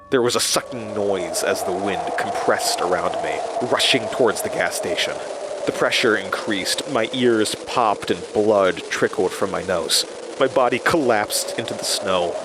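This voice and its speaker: An actor playing a haunted, weary man confiding his supernatural ordeal in a halting horror audio drama monologue. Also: lowish voice, very noisy. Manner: halting, confessional, dramatic pauses. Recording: music behind the voice.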